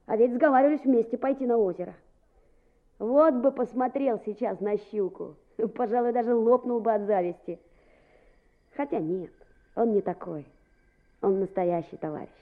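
Woman playing a high-pitched, boastful little schoolboy. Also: moderate pace, pauses often, phone-quality sound, close to an everyday voice. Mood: musing. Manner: exclamatory, muttering, expressive. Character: lively boastful schoolboy, small, talking to himself. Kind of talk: Soviet-style radio play acting.

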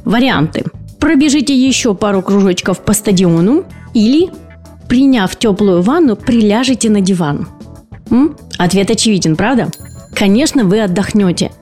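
A woman's voice: medium pitch, dark tone. A woman speech and voice therapist hosting an educational podcast, explaining patiently and posing rhetorical questions to her listeners.

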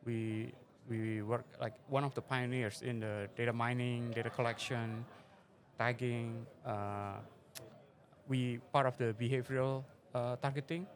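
A man's voice: medium pitch, level tone, unhurried. A middle-aged man from Indonesia, a venture investor and interview guest, answering with frequent fillers and phrasing. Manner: hesitant, many fillers, non-native phrasing. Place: conference forum venue.

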